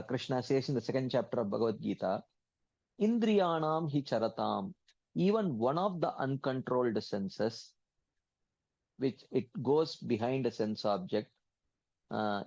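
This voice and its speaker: A man leading an online scripture study class. He chants verses in Sanskrit, then explains them in English in a halting way, with many fillers and restarts. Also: medium pitch, a little mellow, dry recording. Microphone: computer mic on an online call. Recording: dull, dry lo-fi call audio.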